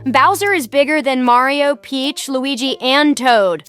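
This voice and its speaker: Casting: woman to play an earnest, eager small boy for audiobook, high-pitched. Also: unhurried, a bit bright. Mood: excited. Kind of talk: audiobook character dialogue. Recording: music behind the voice.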